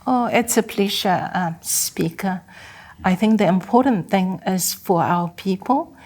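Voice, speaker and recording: A woman who teaches Samoan, speaking as a guest in an earnest, measured way. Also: mid-range voice, darkish tone. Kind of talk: guest interview.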